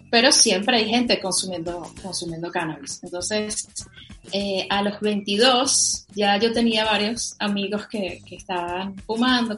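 A young Venezuelan woman with a Venezuelan accent, chatting enthusiastically as a guest on a podcast, with lots of fillers. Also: mid-range voice. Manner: enthusiastic, many fillers, animated. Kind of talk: casual podcast interview.

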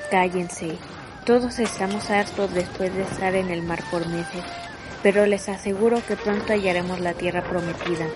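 A woman's voice: lowish voice, steady tone, moderate pace, background noise, lightly acted. A woman playing a dutiful male Chinese explorer leading a fleet in a radio drama, firm and earnest. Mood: firm, reassuring.

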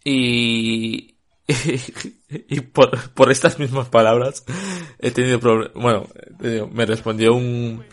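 A man from Spain with a Spanish accent, giving a casual book review in a halting, filler-heavy way and bluntly criticizing what he read. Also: mid-range voice, little pitch movement.

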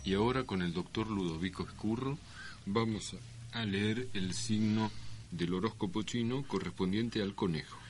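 A man's voice: lowish voice, moderate pace, faint music behind. A man in his forties with an Argentine accent hosting radio with dry, self-mocking banter. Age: 40s. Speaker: radio host. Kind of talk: joking studio banter. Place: radio studio. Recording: faint noise on old radio broadcast.

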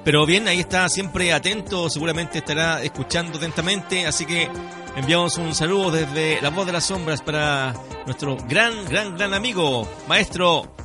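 A man's voice: on the high side, normal speed. Chilean male radio host over background music. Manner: courteous, formal address to guest. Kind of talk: live radio interview on a mystery programme.

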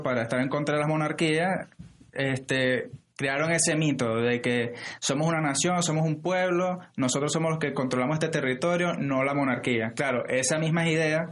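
Guy on a podcast, kinda high voice, lots of uh and restarts while he explains. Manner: explanatory, many hesitations and restarts. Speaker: podcast co-host. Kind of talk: casual political discussion.

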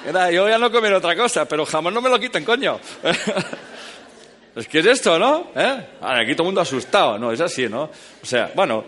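Animated man lecturing an audience. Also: on the high side, normal speed. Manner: animated, joking, swings between loud and quiet. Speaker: speaker giving a talk.

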